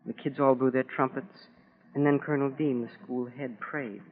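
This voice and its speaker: An actor playing a wistful, proud military school boy in an earnest, halting monologue to his mother, in 1940s radio drama style. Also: mid-range voice, fairly even intonation, close to an everyday voice. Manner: plain boyish colloquial talk, earnest and halting. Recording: scratchy, narrow-band 1940s radio recording.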